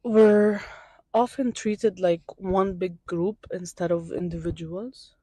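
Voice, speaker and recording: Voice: mid-range voice, fairly even intonation, takes it slowly, dark tone, no echo. A student from Syria answering interview questions on a campus outdoors, speaking hesitantly and searching for words.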